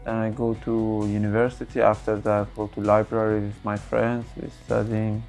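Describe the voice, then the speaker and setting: A man's voice: lowish voice, little pitch movement, moderate pace, darkish tone, soft background music. A young man from Iran, an engineering student, introducing himself hesitantly with frequent fillers. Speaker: student interviewee. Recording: distant, echoey.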